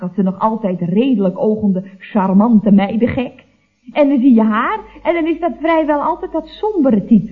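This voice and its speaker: A woman's voice: on the high side, lightly acted. A gossipy, catty switchboard telephonist acted by a woman in an old radio comedy. Her talk swings animatedly up and down with mock innocence. The sound is thin and phone-like, as on an old broadcast. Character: chatty, sly, catty office switchboard telephonist. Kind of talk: 1970s radio comedy acting.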